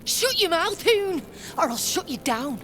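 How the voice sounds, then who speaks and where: A woman's voice: very high voice, big pitch swings, measured pace, a bit bright, soft background music, full character voice. A woman playing a small, fierce gnome rebel in a video game, blunt and aggressively threatening.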